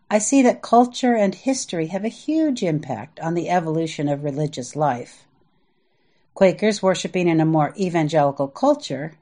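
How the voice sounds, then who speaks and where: An American woman from the Midwest reading her own reflective essay aloud in a measured, earnest manner. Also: lowish voice, darkish tone. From US Midwest (Indiana and Iowa). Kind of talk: reflective scripted narration.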